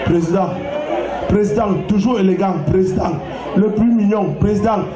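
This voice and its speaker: Man, Cameroonian French accent, very high voice, stand-up comic, roomy hall. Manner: animated, shouting punchlines, mock English. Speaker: stand-up comedian. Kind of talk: live stand-up comedy with crowd interaction. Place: live show venue with audience. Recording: roomy live venue with crowd noise. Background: audience laughter.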